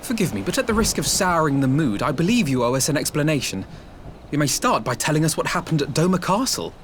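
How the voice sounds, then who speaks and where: An actor playing a composed, commanding young man in a video game, with measured, formal and articulate speech. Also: fairly high pitch, very animated, slight background noise. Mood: dry, formal. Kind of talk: English video game cutscene acting.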